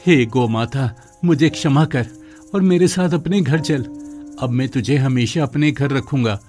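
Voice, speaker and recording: A man playing a greedy village milkman in an animated moral story, pleading dramatically and fearfully. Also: medium pitch, unhurried, faint music behind, lightly acted. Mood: repentant. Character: greedy village milkman, frightened, begging for mercy.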